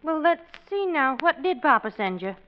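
An actress playing a sensible, patient middle-aged wife and mother in a 1940s radio sitcom, with a measured, gently wry manner. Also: sits high, sing-song, background noise. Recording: dull, lo-fi vintage radio recording.

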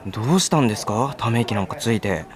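An actor playing a friendly, polite junior office worker in a radio drama, boyish and gently teasing. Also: male voice, mid-range voice, expressive, fast talker, natural voice. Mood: concerned, earnest. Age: young adult.

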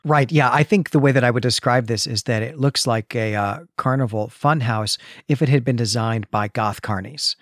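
A man's voice: medium pitch, normal speed, few pauses, a bit bright, no echo. Articulate man hosting, dry close sound. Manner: articulate, explanatory, tags points with "right?". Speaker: podcast host. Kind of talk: literary discussion and story recap.

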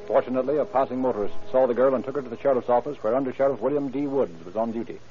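A man's voice: medium pitch, racing. A man narrating dramatic scripted story in the style of old-time radio. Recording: muffled old radio recording. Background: music.

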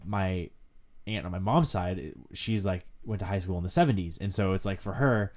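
A teenage boy hosting a podcast, rambling in a relaxed way with lots of filler words. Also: deep voice, normal speed. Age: teens. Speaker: podcast host. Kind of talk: casual chat.

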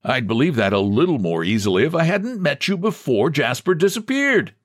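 Man playing a patronizing, high-swooping bank president. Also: sing-song, a little fast, no echo, studio quality. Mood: pitying. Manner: patronizing, falsely sympathetic, easy.

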